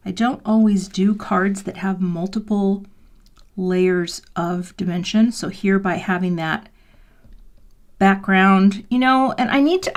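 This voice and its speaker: A woman in her fifties talks casually while making a card on a livestream. She is chatty and self-deprecating, and she drops into quiet, half-whispered mutters as she works. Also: mid-range voice. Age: 50s. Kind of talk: casual crafting livestream chat. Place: home craft room.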